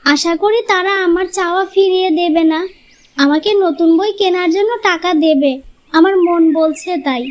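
A woman voicing a gentle, earnest six-year-old village girl who pleads sweetly in a cartoon moral tale. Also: very high voice, normal speed, a little hiss or hum. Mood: pleading, hopeful.

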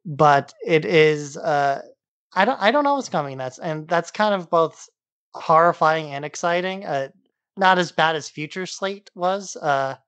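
A man's voice: fairly high pitch, animated, a little slow, a bit bright, no echo. A man co-hosting a podcast, talking casually and conversationally. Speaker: podcast co-host.

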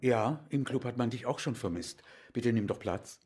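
A man's voice: mid-range voice, normal speed, a little mellow, a little hiss or hum, natural voice. An actor in a German radio drama playing a composed, sensible male professor talking conversationally with a friend. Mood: calm concern.

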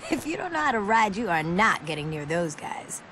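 A woman's voice: medium pitch, sing-song, a little fast, a bit bright, a little hiss or hum. An actress voicing a streetwise, sarcastic young punk woman in a video game cutscene. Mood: wry, teasing. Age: young adult. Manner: wry, sarcastic, teasing.

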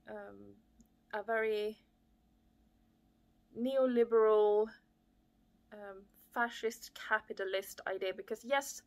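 A woman in her thirties from Chile, talking about her life in a reflective way, with many fillers, in a living room. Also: mid-range voice. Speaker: vlogger. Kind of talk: casual personal talk to camera. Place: living room with fireplace, indoors. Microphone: camera on a desk at close range.

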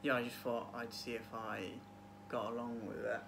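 A man in his twenties talks in a thoughtful, halting way, as if in casual chat at home. His voice sits at an average pitch. The recording has clear noise, picked up by a camera mic a little way off in a small room. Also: flat delivery, a bit bright. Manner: hesitant, thoughtful, trailing phrasing. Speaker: book vlog guest, PhD student. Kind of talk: casual chat about books.

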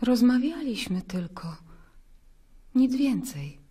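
Roman governor's wife in a radio drama, high husky voice, earnest. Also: female voice, lively intonation, slow pace, warm tone, heavily acted. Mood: quiet, tender.

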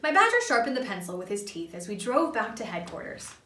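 A woman's voice: mid-range voice, very animated, a little fast, pushed and bright. A woman in her twenties reading a children's story aloud in a small room, away from the microphone. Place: small indoor playroom. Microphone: camera a few feet away. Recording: roomy, distant camera microphone.

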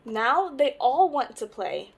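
Slow, very animated young woman reading to children. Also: high voice, vocal fry, highs cut off, slight background noise. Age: young adult. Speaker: storytime host. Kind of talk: children's picture book read-aloud.

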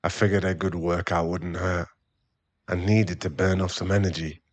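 A man's voice: sits low, little pitch movement, moderate pace. A man playing an irritable, defensive, hard-drinking werewolf boyfriend and expectant father in an ASMR roleplay audio drama, given to sighs and snappish remarks. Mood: casual.

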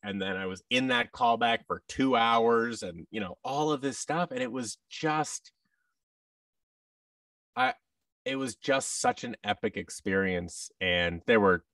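American man, thirties, lively swooping pitch, casual storytelling, dry sound, a little far from the mic. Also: unhurried, dry recording. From Seattle, United States. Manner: animated, anecdotal, acts out quoted thoughts. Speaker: interview guest, actor. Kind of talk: casual interview chat. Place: large furnished indoor room, video call. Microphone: boom mic and headset, slightly off-mic.

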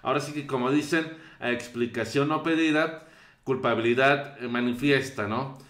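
A man's voice: mid-range voice, moderate pace, fairly bright tone. A young man with a Mexican accent commenting on news clips from a small bedroom, hesitant with many fillers. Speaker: show host. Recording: roomy echo.

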